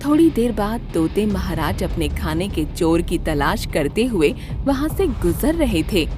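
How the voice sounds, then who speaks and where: A woman narrating a scripted children's story as an expressive storyteller. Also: medium pitch, a little slow, over music.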